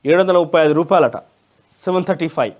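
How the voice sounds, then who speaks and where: A man's voice: fairly high pitch, fast, a little mellow. A man with a Telangana Telugu accent presenting a newspaper review with opinionated commentary in a conversational, emphatic way. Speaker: news presenter.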